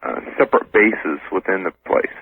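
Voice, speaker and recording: An American man with a fairly low, husky voice speaks as a guest in a radio interview. He is polite and matter-of-fact. The sound is a thin, tinny phone line. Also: lively intonation, normal speed, no echo. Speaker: radio show guest, ex-soldier. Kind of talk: interview answers.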